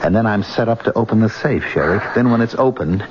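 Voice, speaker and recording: An actor playing a betrayed gambler husband in a radio drama, bitter and sardonic, with a deliberate, threatening manner. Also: male voice, fairly low pitch, lively intonation, natural voice. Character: betrayed diamond-dealing gambler husband, coldly menacing, bitter. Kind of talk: 1970s radio drama acting. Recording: dull lo-fi radio broadcast, loud noise.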